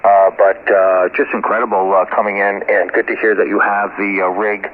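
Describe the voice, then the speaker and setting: A low-pitched man talks over a narrow, tinny shortwave link. He is an amateur radio operator, chatting casually and courteously in a halting way, with call signs mixed in. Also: level tone. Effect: shortwave radio transmission. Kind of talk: casual ham radio chat.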